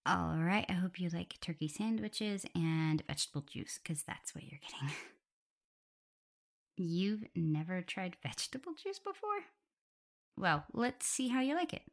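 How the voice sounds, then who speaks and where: Casting: woman to play young female omega werewolf for ASMR audio drama; caring, flustered, fussing; soft breathy delivery, lowish pitch with plenty of vocal fry. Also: sing-song, unhurried, heavily acted. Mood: tender, caring. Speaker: audio roleplay performer. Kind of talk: intimate ASMR roleplay.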